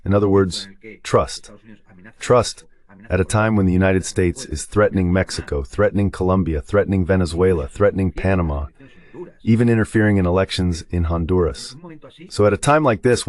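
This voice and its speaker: A man's voice: low voice, a little mellow. A man speaking as a guest analyst, in a translated voice-over of a live discussion on world affairs.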